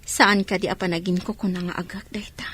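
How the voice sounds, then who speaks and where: An actress playing a soft, forgiving, hesitant wife with a breathy voice in an Ilocano radio drama. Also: female voice, medium pitch, level tone, brisk, a little hiss or hum, natural voice. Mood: tender, hesitant.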